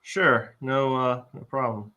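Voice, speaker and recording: A man's voice: mid-range voice, fairly even intonation, takes it slowly, sharp tone. A man playing a jittery, boastful, bumbling would-be warrior in a comic live table read. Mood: panicky. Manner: blustering, nervous, exaggerated.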